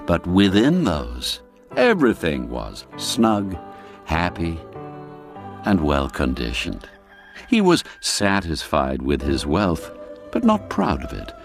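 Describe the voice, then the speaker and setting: A man narrating a classic story in a measured, theatrical and expressive way. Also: low-pitched. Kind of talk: dramatic scripted story narration.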